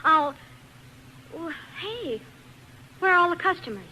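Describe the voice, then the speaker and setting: A woman's voice: extremely high pitch, big pitch swings, a little slow, pushed and bright, slight background noise, full character voice, poor audio. An actress playing a feisty young showgirl, indignant and scrappy, in 1940s radio style. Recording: old 1940s radio recording.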